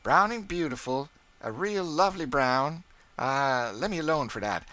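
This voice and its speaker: A man's voice: fairly high pitch, lively intonation, unhurried, sharp tone, pitched above the performer's own voice. A man voicing a bossy, proud older woman cook who scolds and boasts in thick folksy dialect. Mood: bustling proud. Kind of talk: audiobook character voice.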